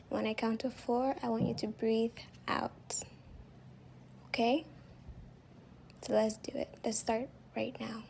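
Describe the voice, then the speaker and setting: A woman who is not a native English speaker leads a guided meditation in a soft, breathy voice that slips toward a whisper. She speaks very slowly and gently, with a slightly high pitch. There is faint noise behind her. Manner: gentle, reassuring, unhurried. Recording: faint background noise, home recording.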